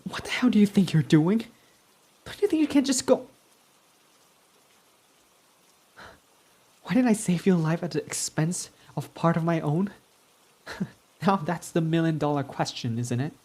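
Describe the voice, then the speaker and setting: A man's voice: a little high, moderate pace, darkish tone, husky. Man voicing a proud tsundere villain. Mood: heated, defensive, then flustered, teasing. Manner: defensive, swears when flustered. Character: proud tsundere young male villain, gruff but smitten. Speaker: ASMR roleplay performer. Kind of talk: ASMR roleplay.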